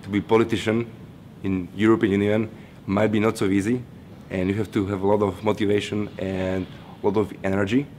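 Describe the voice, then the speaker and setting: A young man from Slovakia, a management student, answering interview questions in a steady, measured way. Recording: noisy background rumble.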